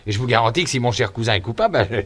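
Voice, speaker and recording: An accused man shouting his innocence. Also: animated, very fast. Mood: indignant. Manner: pleading then bullying, swings from low to shouting. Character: accused young man protesting innocence, hot-tempered. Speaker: escaped prisoner Eric Anderson. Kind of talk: 1950s French radio drama acting.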